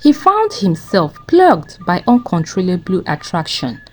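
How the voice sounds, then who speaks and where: A woman's voice: fairly low pitch, huge pitch swings, unhurried, soft background music. A woman with a Nigerian accent narrating a scripted folktale as a storyteller.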